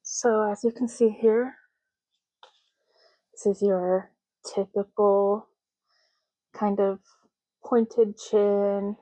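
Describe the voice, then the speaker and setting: A woman speaking softly in an ASMR roleplay, hushed, unhurried and gently explanatory, close to the microphone. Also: mid-range voice, little pitch movement, no room sound, low-quality recording. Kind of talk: soft-spoken ASMR roleplay.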